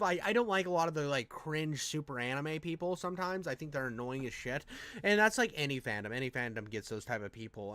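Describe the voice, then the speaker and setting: A man's voice: medium pitch, a bit bright, dry recording. A man giving casual, unscripted reaction commentary in a rambling, slangy, enthusiastic way, with some swearing. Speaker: reaction channel host.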